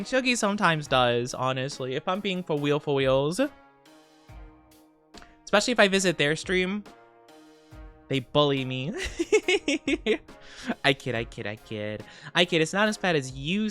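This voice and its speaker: A woman chats with her stream audience in a playful, teasing way. Her voice sits on the low side and sounds smooth and clear. Soft music plays faintly in the background of her home streaming room. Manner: playful, teasing, answering chat. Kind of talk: casual livestream chat with viewers.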